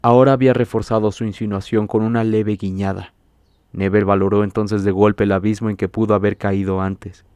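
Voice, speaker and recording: Low-voiced man narrating an audiobook. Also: level tone, a little mellow, clean tone, dry recording. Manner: measured, literary storytelling.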